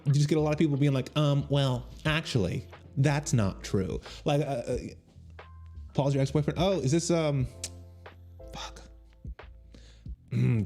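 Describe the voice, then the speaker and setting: A man in his thirties talks loosely to his livestream viewers, joking at his own expense and drifting from one tangent to the next. His voice is mellow and relaxed, in a small home room, close to a boom mic, with faint music underneath. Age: 30s. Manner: rambling, self-deprecating and joking, reacting to chat. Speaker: comedian streaming on Twitch. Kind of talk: casual livestream chat with viewers. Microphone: studio mic on boom arm.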